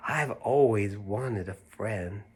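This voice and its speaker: A man voicing a shy, gentle toy bear from a picture book, speaking softly and slowly with wonder. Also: on the low side, husky, pitched below the performer's own voice. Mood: tender wonder. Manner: soft, slow, wondering.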